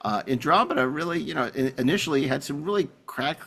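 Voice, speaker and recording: Man chatting with very lively pitch. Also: creaky. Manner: halting, repeats phrases. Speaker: podcast host. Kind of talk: casual chat.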